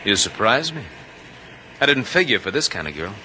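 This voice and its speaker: A man's voice: medium pitch, sing-song, moderate pace, sharp tone. An actor playing a cocky, greedy young gunman with a smug, musing, insinuating delivery in old radio drama style. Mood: intrigued, insinuating. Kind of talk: 1950s radio drama acting. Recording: noisy old radio recording.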